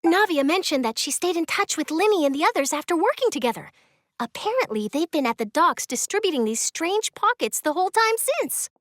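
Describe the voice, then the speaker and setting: A woman's voice: very high-pitched, expressive, fairly quick, dry recording. An actress playing an eager, bubbly young female reporter in a video game, perky and chatty. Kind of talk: animated video game voice acting.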